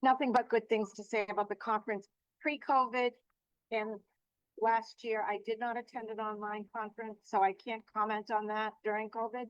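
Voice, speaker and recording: A woman's voice: a little high, little pitch movement, moderate pace, smooth, dry recording. A woman who is an association president and keynote speaker, talking earnestly and emphatically in a testimonial interview. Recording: dry, slightly dull recording.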